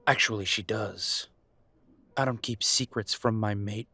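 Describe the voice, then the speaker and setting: A man's voice: lowish voice, unhurried, vocal fry, sharp tone, breathy edge, dry recording, full character voice. A man playing a wounded, bitter werewolf son in an audio drama, guarded and weary with a sarcastic edge. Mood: sarcastic, defiant. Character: bitter, wounded adult werewolf son confronting his parents. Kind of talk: audio roleplay drama acting.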